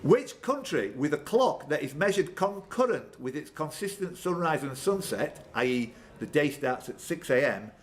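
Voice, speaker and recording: Excitable male quizmaster, higher-pitched voice, noisy room. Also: normal speed, hardly any pauses. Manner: excitable, bantering. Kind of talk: live quiz hosting, reading questions and calling players by name. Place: pub room with players around. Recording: noisy live pub room recording.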